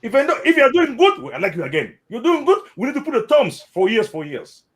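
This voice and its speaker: South sudanese guy, high voice that jumps around a lot, repeats himself, casual chat. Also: quick pace. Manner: animated, repeats words, mixes in Arabic phrases. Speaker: interviewee. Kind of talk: casual spoken commentary.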